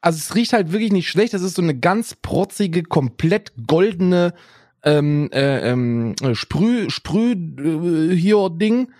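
A man's voice: fairly high pitch, expressive, slow, a little mellow, no echo, studio quality. A young adult man co-hosting a podcast in casual chat, rambling on and tagging his lines with "ne?" and "Bruder". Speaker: podcast co-host.

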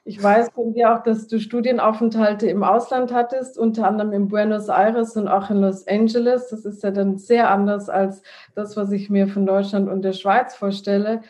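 Mellow-voiced woman interviewer. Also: medium pitch, little pitch movement, normal speed. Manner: friendly, hesitant, with many fillers. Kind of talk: interview questions.

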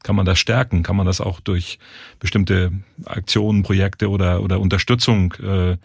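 Middle-aged male interviewer, low gravelly husky voice, hesitant questioning. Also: level tone, moderate pace, darkish tone, no echo, studio quality. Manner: hesitant, many fillers while forming questions.

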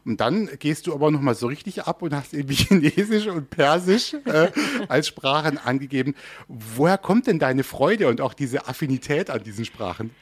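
A man hosting a radio podcast interview from a studio, chatty and relaxed, with small laughs and fillers. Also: a little high, normal speed, slightly rough, no room sound. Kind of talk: friendly interview in German. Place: radio studio in a theme park.

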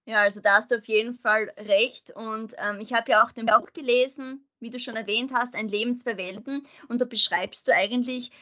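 Young woman hosting a podcast, bright higher voice, chatty and easygoing. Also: steady tone, normal speed, hardly any pauses, highs cut off. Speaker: podcast host. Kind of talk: casual podcast interview.

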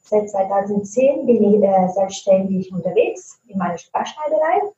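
A woman's voice: medium pitch, animated, moderate pace, mellow. A woman from Italy, an Italian native speaker, answering interview questions as a translator and trainer, thoughtful with frequent hesitation fillers. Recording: roomy, distant, dull lo-fi sound.

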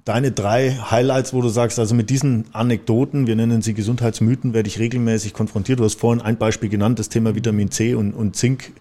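A man's voice: on the low side, steady tone, fast, hardly any pauses, dark tone. A man hosting a podcast, asking long, searching questions with fillers in a casual chat. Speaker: podcast host.

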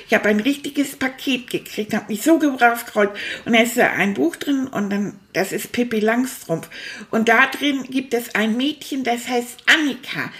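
A woman voicing a boastful, childlike male toy rat who chatters excitably and impatiently to young listeners on a children's audio show. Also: on the high side, natural voice.

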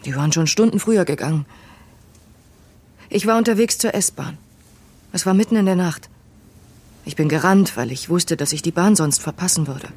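A woman playing a weary waitress recounting her past in measured, bitter tones in a German audio drama. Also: lowish voice, a little mellow, slight background noise, lightly acted. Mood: disgusted, weary. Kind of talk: audio drama narration and dialogue.

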